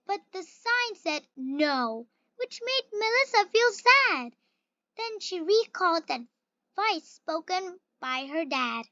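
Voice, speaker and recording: A reader with an expressive, carefully phrased delivery, reading a children's picture book aloud. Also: very high voice, slow pace, dry recording, highs cut off. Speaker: story reader.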